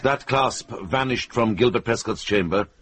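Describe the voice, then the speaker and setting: An actor playing a wise Welsh monk and sleuth in a radio drama, speaking deliberately and persuasively as a steady advocate. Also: male voice, medium pitch, breathy edge. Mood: urgent, firm.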